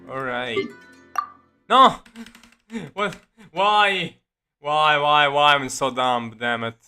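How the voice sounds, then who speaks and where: A man's voice: on the high side, extremely slow, smooth. A man giving casual, rambling gameplay commentary as a streamer, with muttered asides and some swearing. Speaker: game streamer. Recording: loud game music behind voice.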